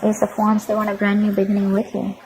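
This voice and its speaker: A woman's voice: mid-range voice, monotone, quick pace, vocal fry, noisy background. A woman giving a tarot reading to her viewers, emphatic and repetitive, often checking in with "okay?". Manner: emphatic, repeats herself, keeps asking "okay?". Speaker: tarot reader.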